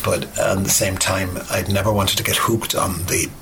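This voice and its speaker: Gravelly low-voiced man, hesitant. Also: steady tone, normal speed, music in the background. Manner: hesitant, restarting. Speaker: poet, interview guest. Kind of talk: reflective interview talk.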